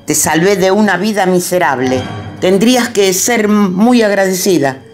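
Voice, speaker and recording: A woman's voice: mid-range voice, lively intonation, normal speed, a little mellow, faint music behind, close to an everyday voice. A woman voicing a domineering, scornful older aristocratic mother in a radio drama, with a Rioplatense Spanish accent. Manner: scornful, imperious.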